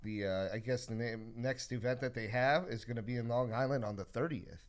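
A man's voice: medium pitch, brisk. A man co-hosting a podcast, chatting in a dry, joking way. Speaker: podcast co-host. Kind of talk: casual podcast chat.

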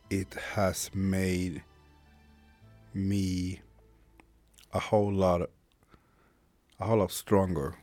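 Thirties male podcast host, Swedish accent, deep and slow, reflective and frank. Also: darkish tone, dry recording. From Sweden. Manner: candid, reflective, swears casually. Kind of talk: personal monologue podcast.

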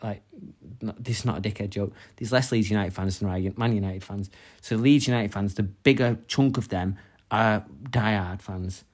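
A young man from Manchester speaks in a Mancunian accent. He co-hosts a relaxed couple's podcast, teasing, joking and swearing freely as he talks. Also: fairly low pitch, moderate pace. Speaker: podcast co-host. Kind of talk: casual chat.

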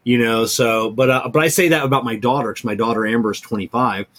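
A man's voice: medium pitch, fairly even intonation, fast, a bit bright. A man in his forties hosting a casual talk show, joking and often doubling back on his words. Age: 40s. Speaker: cigar talk show host. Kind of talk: casual chat.

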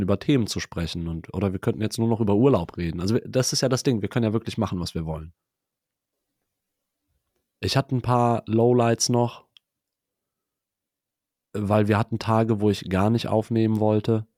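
A man with a lingering cold talks through his podcast year in a relaxed, rambling way. His voice sits a little low and sounds close and dry, with no room around it. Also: no room sound. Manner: reflective, talks in loose run-on sentences. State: has a cold. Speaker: podcast co-host. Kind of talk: casual podcast chat.

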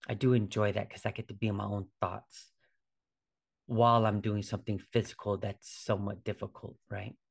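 A man's voice: on the low side, little pitch movement, normal speed, creaky, husky. A man in his forties talking casually as a podcast host, informal and conversational, often checking in with a "right?". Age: 40s. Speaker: podcast host, fitness trainer. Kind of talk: casual solo podcast talk.

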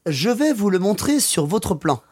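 A man's voice: sits high, big pitch swings, moderate pace, a little mellow, slightly rough, heavily acted. A man playing a friendly local Frenchman giving helpful directions in a scripted language-course dialogue.

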